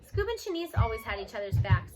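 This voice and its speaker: A woman giving an expressive read-aloud of a children's novel. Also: a little high, brisk, music in the background.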